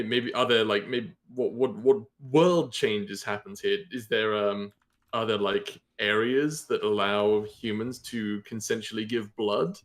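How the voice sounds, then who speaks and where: Unhurried man thinking aloud. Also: medium pitch. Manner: thinking aloud, posing rhetorical questions. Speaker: guest writer and content creator. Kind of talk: casual discussion.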